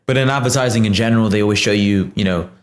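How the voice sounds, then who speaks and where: Man, quick persuasive talk, lowish voice, roomy echo. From United Kingdom. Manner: persuasive, explaining at length. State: tired after a long flight. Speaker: podcast guest. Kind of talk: casual podcast conversation.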